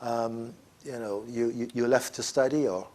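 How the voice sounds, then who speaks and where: A man hosting an interview, asking questions in a halting way with many restarts. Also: medium pitch, steady tone, warm tone. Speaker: interview host.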